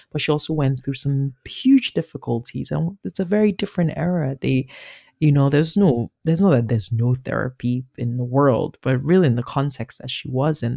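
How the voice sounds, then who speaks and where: A woman who grew up in Nigeria, a guest author talking reflectively in an interview, with frequent pauses and restarts. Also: a bass voice, vocal fry, warm tone, no room sound, clean studio sound. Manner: thoughtful, halting, many restarts and fillers.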